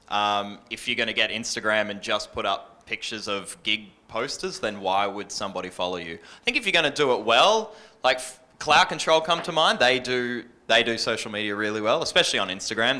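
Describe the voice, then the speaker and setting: A man's voice: on the low side, bright, forceful tone. A man with an Australian accent giving casual, informal advice as a radio presenter on a workshop panel. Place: live panel workshop indoors.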